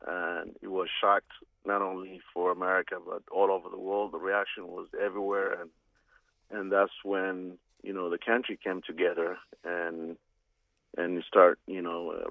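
Somali guy calling into talk radio, gravelly low voice, tinny phone sound, keeps restarting. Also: flat delivery, no room sound. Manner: halting, many restarts. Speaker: caller.

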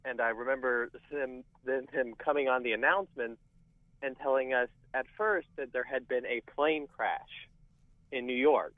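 A man's voice: mid-range voice, steady tone, normal speed, dry recording. A young adult man calling in to a radio show, reflectively recalling his memories. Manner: reflective storytelling. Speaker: caller. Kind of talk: call-in radio talk. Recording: tinny phone line.

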